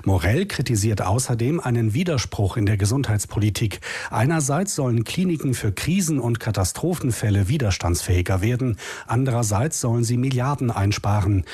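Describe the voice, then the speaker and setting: A male correspondent delivers a scripted radio report in a standard German accent. His voice is mid-pitched and husky, with a measured reporting style. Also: lively intonation, a little mellow. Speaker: news correspondent.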